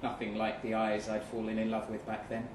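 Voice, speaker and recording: A man giving a measured, dramatic live reading of literary first-person fiction. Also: lowish voice, completely flat delivery. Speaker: story reader. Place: pub event room, live reading. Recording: roomy, distant live venue recording, faint noise.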